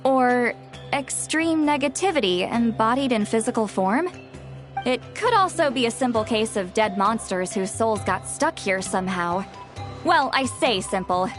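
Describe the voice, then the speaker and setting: A woman's voice: on the high side, lively intonation, normal speed, close to an everyday voice. A woman playing a breezy, pedantic, easily offended young-sounding scholar in a video game. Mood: musing. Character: know-it-all, easily offended, long-lived youthful woman scholar. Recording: faint music behind the voice.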